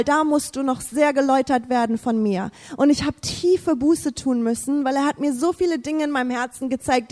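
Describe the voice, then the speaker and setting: Woman, English native, fervent testimony, high voice, echoing hall. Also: a little mellow. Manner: fervent, repetitive, searching for words. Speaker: speaker giving a testimony. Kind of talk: emotional faith testimony.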